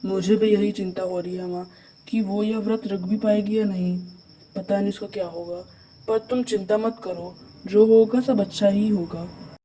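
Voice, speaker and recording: A man's voice: high-pitched, steady tone, normal speed, warm tone, a little hiss or hum, lightly acted, low-quality recording. A man voicing a caring young husband and devoted son in a cartoon story, earnest and reassuring. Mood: concerned, reassuring.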